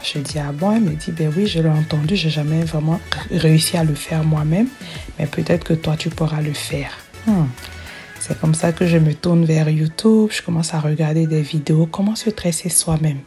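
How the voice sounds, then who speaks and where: A woman's voice: deep voice, steady tone, moderate pace, dark tone, faint music behind. A middle-aged woman from Africa telling a personal story as a voice-over for her own video, chatty, and often restarting her words. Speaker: hair braiding vlogger.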